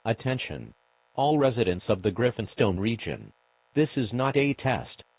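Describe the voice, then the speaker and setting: A man delivering a formal scripted emergency broadcast as an announcer, solemn, measured and authoritative. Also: medium pitch, big pitch swings, creaky, slightly rough, dry recording. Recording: dull lo-fi broadcast audio.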